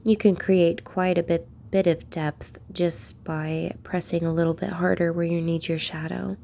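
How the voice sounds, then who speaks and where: A woman with a croaky voice from a cold, narrating a drawing tutorial in an unhurried way while she shades. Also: fairly low pitch, level tone, warm tone, a little hiss or hum. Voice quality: gravelly. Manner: unhurried, talks while shading. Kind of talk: relaxed drawing tutorial voice-over.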